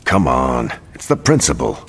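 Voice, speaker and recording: A man playing a modest veteran soldier superhero in a video game, speaking in a measured, sincere, plain-spoken way with music behind him. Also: a bass voice, wildly swooping pitch, slightly rough, full character voice. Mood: casual. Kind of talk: video game cutscene acting. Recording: background music under the voice.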